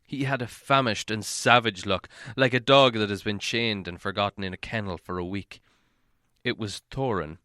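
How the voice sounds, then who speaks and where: A man reading a fantasy novel aloud as an audiobook narrator, in dramatic, measured storytelling. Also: lowish voice, fairly bright tone, clear, resonant tone, no room sound, studio quality.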